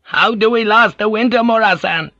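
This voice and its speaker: A man's voice: high voice, normal speed, bright, forceful tone, no room sound, dull audio, voice pushed up. A man voicing an anxious fisherman villager with a whining, pleading voice. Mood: worried pleading. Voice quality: whiny. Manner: pleading, worried.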